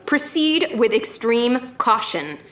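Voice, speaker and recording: A woman's voice: fairly high pitch, sing-song, takes it slowly, fairly bright tone, full character voice. A woman playing an earnest young woman in a scripted audio drama, heard with a roomy echo. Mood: careful reading. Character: earnest young woman seeking justice for her grandmother.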